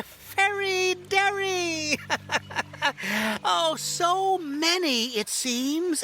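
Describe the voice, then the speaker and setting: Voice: high-pitched, sharp tone, heavily acted. An actor voicing a whimsical, merry old elf in a fairy-tale audio drama, giggly and theatrical with old-fashioned formal speech. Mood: merry, gleeful.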